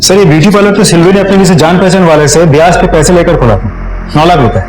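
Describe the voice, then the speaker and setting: A man playing a brisk, deferential junior police officer reporting in a TV crime drama. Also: a little high, lightly acted. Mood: matter-of-fact. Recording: echoey room, loud dramatic music.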